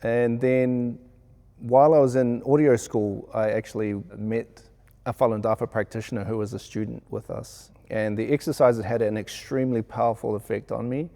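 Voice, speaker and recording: A man with a New Zealand accent speaks reflectively, as if answering an interview. His voice is mellow and relaxed, with a creaky, gravelly edge. He sounds earnest and measured. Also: mid-range voice. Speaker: sound engineer being interviewed.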